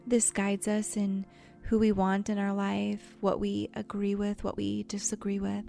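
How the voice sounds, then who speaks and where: A woman guides a visualization in a soft, mellow voice with noticeable vocal fry. She speaks gently and soothingly, leaving unhurried pauses and sometimes slipping into breathiness. Faint music plays underneath. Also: medium pitch, completely flat delivery. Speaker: guided meditation host. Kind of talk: guided imagery meditation narration. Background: soft background music.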